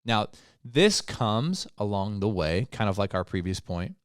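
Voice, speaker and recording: A man's voice: on the low side, big pitch swings, a little slow, clean tone, no room sound, clean studio sound. A man co-hosting a podcast in casual, conversational talk, laughing easily. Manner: conversational, laughs easily, slips into quoted voices. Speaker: podcast co-host. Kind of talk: casual chat.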